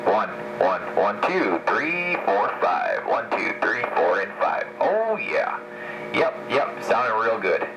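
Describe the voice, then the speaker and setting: A man's voice: fairly low pitch, sing-song, takes it slowly. A man doing a microphone test in short bursts, heard through a radio. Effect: heard through a radio, some echo. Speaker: radio technician testing audio. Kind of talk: slow microphone test counting. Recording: echoey, noisy radio monitor playback.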